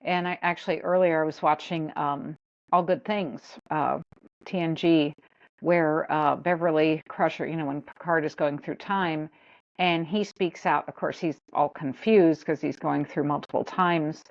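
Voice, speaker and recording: A woman on a podcast panel talking casually, halting and restarting as she gathers her thoughts, over a dull lo-fi line. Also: low-pitched, steady tone, vocal fry. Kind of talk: casual chat.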